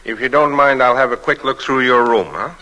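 An actor playing an affable police inspector in an old-time radio thriller, probing in a casual, unhurried way. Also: male voice, medium pitch, loud music behind the voice, natural voice, poor audio. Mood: casually probing.

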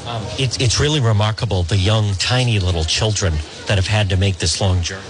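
A man's voice: fairly low pitch, fairly quick, crowd noise. Earnest New England man interviewing on the street. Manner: earnest, rambling questions with restarts. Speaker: radio host reporting from abroad. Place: outdoors at a border crossing among refugees.

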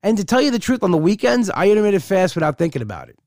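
A man's voice: high voice, sing-song, racing, a little mellow, dry recording. Rambling, candid man on a dry mic. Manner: rambling, candid, many restarts. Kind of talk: casual personal monologue.

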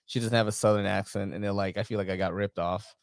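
A man's voice: on the low side, steady tone, fast talker, gravelly, no echo, studio quality. A man from the Philippines hosting a podcast, chatting casually while reacting to videos, swearing freely and laughing often. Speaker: podcast host.

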